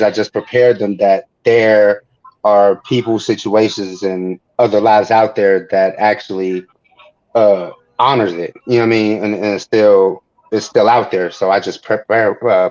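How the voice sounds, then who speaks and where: A man's voice: on the low side, steady tone, unhurried, a little mellow. An American man giving his view casually as a panelist, over dull, lo-fi online call audio. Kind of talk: casual discussion.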